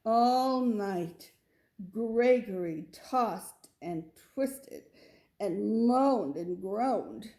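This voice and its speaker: A woman's voice: medium pitch, lively intonation, very slow, mellow. A woman narrating a children's story as a read-aloud. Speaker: storybook reader.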